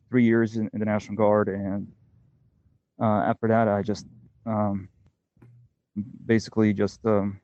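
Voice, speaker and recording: Flat, low-voiced young man on a dull line. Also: no room sound. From United States. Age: young adult. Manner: rambling, full of fillers. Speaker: guest, congressional candidate. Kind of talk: casual interview answers.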